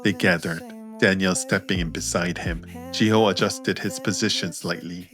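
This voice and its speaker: A man narrating scripted fiction as an audiobook, in a measured, understated manner. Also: low voice, fairly even intonation, over music. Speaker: author and narrator.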